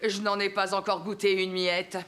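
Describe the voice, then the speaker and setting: An actress voicing a refined, demanding female food critic who speaks in a slow, prim way. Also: medium pitch, flat delivery, natural voice.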